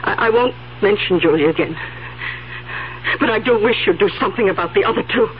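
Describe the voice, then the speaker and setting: An actress playing a confused, stubborn, fretful elderly aunt in a 1970s radio drama, hesitant and stammering yet insistent. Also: female voice, mid-range voice, brisk, natural voice. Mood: indignant, insistent. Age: older. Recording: dull old radio recording, faint hum.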